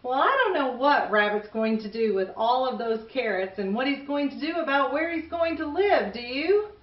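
A woman's voice: high-pitched, lively intonation, moderate pace, highs cut off. A middle-aged or older woman hosting a children's story time, speaking expressively to children and often asking them questions. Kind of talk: storybook reading and chatting to children.

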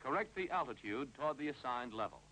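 A man's voice: mid-range voice, expressive, fast, bright, forceful tone. An American man reading scripted instructional narration for a 1950s training film, with a clipped, authoritative delivery. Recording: noisy 1950s film soundtrack with hum.

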